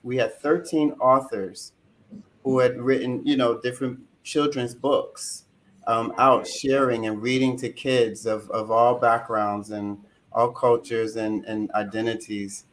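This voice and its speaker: A man co-hosting a community panel, effusive and quick with praise. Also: mid-range voice, little pitch movement, fairly bright tone, a little hiss or hum, rough recording. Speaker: co-host.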